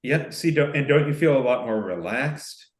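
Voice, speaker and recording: A man's voice: medium pitch, lively intonation, moderate pace. Teasing man in an echoey room. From Arkansas. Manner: teasing, explains with confidence. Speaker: cocktail show co-host. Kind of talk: casual video call chat. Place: home in Miami. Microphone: video call.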